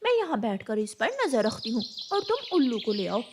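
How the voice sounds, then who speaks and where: A woman's voice: fairly high pitch, very animated, normal speed, background noise. A woman voicing a scheming, menacing female crow in a cartoon, bossy and threatening.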